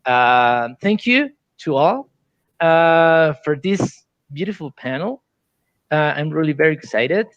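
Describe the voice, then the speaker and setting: A Colombian man with a Spanish accent moderating an online panel in halting English. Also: a little high, animated, no room sound, highs cut off. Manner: halting second-language English. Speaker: panel moderator, science fiction editor. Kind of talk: hosting an online panel discussion.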